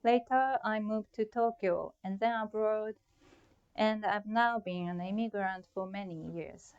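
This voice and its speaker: A woman's voice: medium pitch, measured pace, clean tone, highs cut off. A middle-aged woman from Japan with a Japanese accent, giving a prepared, reflective talk about her own art. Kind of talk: prepared artist talk presentation.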